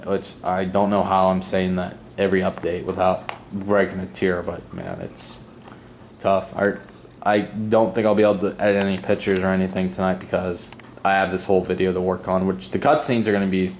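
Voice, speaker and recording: A man's voice: deep voice, flat delivery, normal speed, slight background noise. A man hosting a hobby video channel, talking in a casual, earnest, rambling unscripted style. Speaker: host of a model airport video channel. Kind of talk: casual unscripted vlog voice-over.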